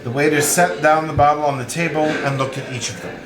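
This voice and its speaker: A man's voice: on the high side, fairly even intonation, brisk, roomy sound, crowd noise. A man narrating a novel as a scripted reading.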